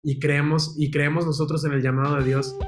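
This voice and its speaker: A man teaches in a sermon-like way, firm and moralizing, with a few fillers along the way. His voice sits slightly high for a man. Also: flat delivery, a little fast. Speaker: missionary workshop host.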